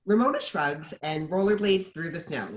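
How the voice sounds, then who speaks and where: A man's voice: fairly high pitch, lively intonation, normal speed. A man narrating the stage directions of a screenplay at a table read.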